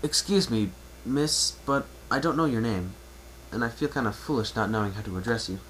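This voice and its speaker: A man's voice: medium pitch, lively intonation, moderate pace, fairly bright tone, natural voice. Casting: man reading as young male pony traveler, reserved, courteous, casual, over faint home-recording noise. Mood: polite, hesitant. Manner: polite, hesitant, casual. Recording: noisy amateur home recording.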